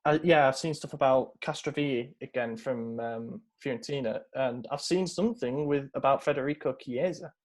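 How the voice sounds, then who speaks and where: A man's voice: mid-range voice, normal speed, hardly any pauses. Chatty man. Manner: chatty, rambling with many fillers. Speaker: podcast host. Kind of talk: casual football podcast chat.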